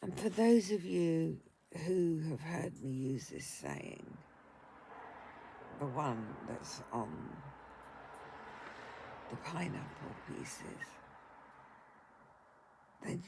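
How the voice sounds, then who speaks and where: An older woman speaks in a tired, croaky, husky voice with a gravelly edge. She talks very slowly and haltingly, pausing to take deep breaths. A steady hiss of noise sits under her casual home vlog. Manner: slow and hesitant, taking deep breaths before speaking. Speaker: vlogger.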